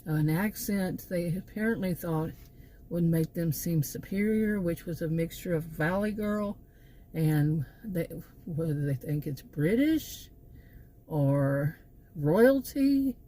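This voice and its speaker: Texan woman rambling in a mellow voice. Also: lowish voice, slow, slight background noise. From Texas. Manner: meandering, with frequent restarts and asides. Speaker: channel host. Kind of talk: rambling opinion monologue.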